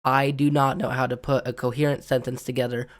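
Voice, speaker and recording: A man hosting an unscripted gaming opinion vlog, hoarse and raspy from being sick, rambling and stumbling with lots of ums. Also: medium pitch, flat delivery, brisk. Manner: looks around rather than at the camera. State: hoarse from illness. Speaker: gaming video host.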